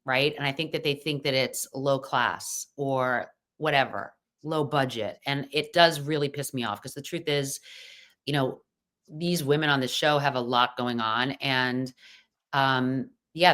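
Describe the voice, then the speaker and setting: Middle-aged woman, deep low voice, chatty guest who talks in loose restarts. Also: pushed and bright. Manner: chatty, lots of fillers and restarts. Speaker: podcast guest. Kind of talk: casual chat.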